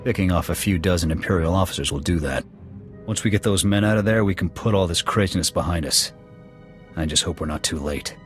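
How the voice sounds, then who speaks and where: Game casting: man playing proud, hot-tempered soldier, low-pitched, gruff and clipped, music underneath. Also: fairly quick, natural voice. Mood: earnest, concerned. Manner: clipped, military, terse. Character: gruff, proud male military sniper officer, loyal but hot-tempered. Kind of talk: video game dialogue acting. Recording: music behind the voice.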